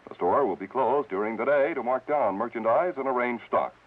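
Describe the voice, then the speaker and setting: An American man delivering a 1950s radio advertising pitch as a commercial announcer. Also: fairly quick. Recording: tinny, narrow-band old radio broadcast.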